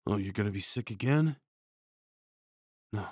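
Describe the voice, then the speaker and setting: Husky, slow-talking man soothing his partner. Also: fairly low pitch, lively intonation, no echo, clean studio sound. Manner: hushed, soothing, breathy sighs and shushing. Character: tender, worried boyfriend comforting his partner. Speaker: ASMR audio roleplay performer. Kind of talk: ASMR comfort roleplay, acted as a caring boyfriend.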